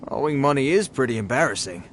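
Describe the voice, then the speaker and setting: An actor playing a nervous, deferential, slightly green bandit in a video game, hesitant and polite. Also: male voice, medium pitch, animated, fast talker, a bit bright, slight background noise, natural voice. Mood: puzzled.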